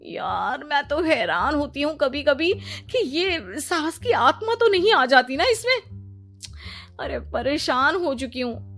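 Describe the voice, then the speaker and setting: A woman plays a dramatic young wife in a cartoon family tale. Her voice is very high and slow, complaining with theatrical, fed-up emphasis over faint music. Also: big pitch swings, vocal fry, a bit bright, exaggerated voice. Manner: complaining, melodramatic. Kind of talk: Hindi cartoon story acting.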